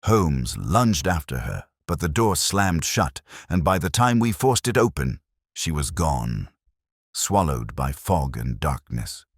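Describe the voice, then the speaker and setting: A man narrating a detective story in a measured, dramatic, scripted style. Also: rumbling low, big pitch swings, husky, no echo, clean studio sound. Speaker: audiobook narrator.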